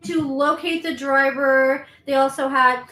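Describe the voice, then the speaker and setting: A woman's voice: high voice, steady tone, unhurried, pushed and bright. A woman hosting a commentary video, chatting and explaining events step by step. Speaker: commentary host. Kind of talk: casual commentary. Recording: roomy echo.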